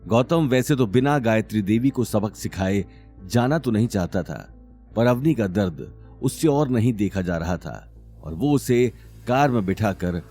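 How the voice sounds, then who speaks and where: A man's voice: lowish voice, lively intonation, a little slow, mellow, faint music behind. A man narrating a scripted audio drama serial in a dramatic storytelling style.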